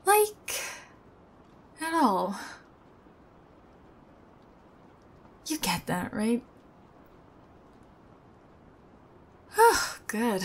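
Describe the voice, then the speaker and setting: A young woman acting an odd, sweet, sheepish thief in an ASMR roleplay, speaking softly and hesitantly with awkward pauses. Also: on the high side, full character voice. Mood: awkward, bemused.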